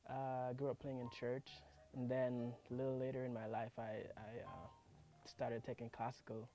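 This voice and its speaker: A young man, answering interview questions about himself. His voice is mellow and quite flat, soft-spoken and hesitant, with many pauses. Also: mid-range voice. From Trinidad. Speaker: music student.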